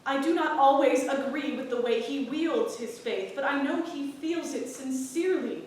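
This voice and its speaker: Woman doing earnest devout wife in a stage play, high voice, searching, really echoey. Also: moderate pace, darkish tone, lightly acted. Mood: urgent, reproving. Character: earnest, questioning, devout married woman of small town. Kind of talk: staged play reading, period drama. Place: theatre stage. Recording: roomy echoing stage, distant mic, rumble.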